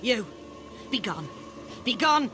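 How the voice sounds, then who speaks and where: Defiant, high-voiced little child. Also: female voice, very animated, slow. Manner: blunt, repetitive, chanting refusals. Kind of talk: video game cutscene acting. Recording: music behind.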